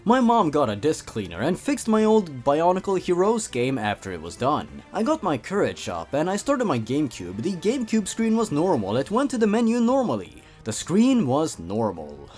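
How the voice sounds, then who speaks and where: Male host, lively swooping pitch, slightly high, theatrical and sarcastic commentary over music. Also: normal speed, hardly any pauses. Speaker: host reading and reviewing a horror story. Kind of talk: scripted commentary and dramatic story reading.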